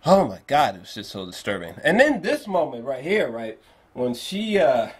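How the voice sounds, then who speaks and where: A man giving a casual spoken movie review, swearing freely and repeating phrases for emphasis in an animated, emphatic way. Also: mid-range voice, normal speed. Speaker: film reviewer.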